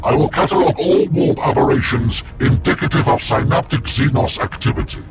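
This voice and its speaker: An actor playing an ancient warrior-psyker sealed in a war machine, speaking formally and solemnly as the game's narrator. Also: male voice, medium pitch, animated, brisk, close to an everyday voice. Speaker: librarian, narrator. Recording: with loud game music behind.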